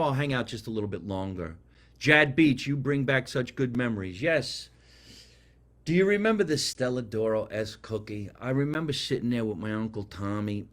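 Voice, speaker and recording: Chatty man with a New Jersey accent. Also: medium pitch. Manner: chatty, rambling, animated storytelling. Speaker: podcast host. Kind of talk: casual live chat, reading viewer comments.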